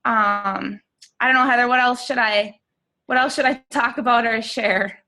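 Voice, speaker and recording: A woman's voice: a little high, steady tone, moderate pace, pushed and bright. An American woman giving a candid, conversational personal testimonial as a guest coach on an online call.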